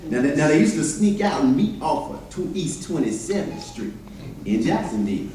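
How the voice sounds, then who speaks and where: A man plays an old-time American storyteller spinning folksy tales for the stage. His voice is high and animated, heard from a distance in a very reverberant chapel with faint noise. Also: normal speed. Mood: storytelling. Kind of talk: readers theater monologue.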